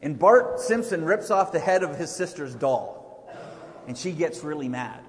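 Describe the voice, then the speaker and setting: A middle-aged man preaching a sermon at a pulpit microphone, loud and conversational, joking with the congregation. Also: a little high, expressive, measured pace. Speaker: pastor. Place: large church hall. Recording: echoey church hall.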